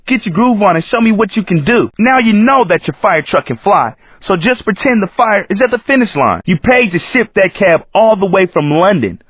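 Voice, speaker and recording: A man's voice: high-pitched, very animated, moderate pace, hardly any pauses, breathy edge, no echo, full character voice. A man voicing a cocky, hip, streetwise American racing coach in a video game, slangy and brash. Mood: upbeat hype. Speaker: race announcer in a driving game. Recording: muffled lo-fi game audio.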